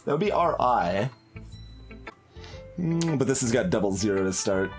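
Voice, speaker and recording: A man's voice: mid-range voice, expressive, normal speed, soft background music. A man giving casual live commentary on a game playthrough, thinking aloud in a hesitant way. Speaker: gaming streamer.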